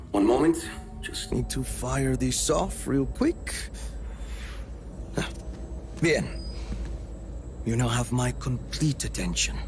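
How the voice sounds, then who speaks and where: A man's voice: medium pitch, background noise. A man acting a smug, suave middle-aged villain in a video game cutscene, mock-polite and condescending, with theatrical phrasing. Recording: loud music bed under the voice. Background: music.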